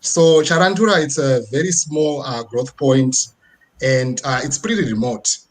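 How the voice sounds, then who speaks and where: A man with a Zimbabwean accent and a husky, slightly rough voice at a middling pitch. He speaks as a guest presenter online, telling a personal, motivational story. His delivery is conversational, dotted with little tags that seek agreement. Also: unhurried. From rural Midlands region of Zimbabwe. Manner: conversational, frequent tag questions seeking agreement. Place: online video presentation with screen sharing.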